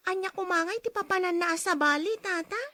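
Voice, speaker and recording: A woman playing a gentle, concerned young daughter in a radio drama, speaking in a plaintive, questioning way. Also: extremely high pitch, fairly quick, no echo, studio quality. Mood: placating.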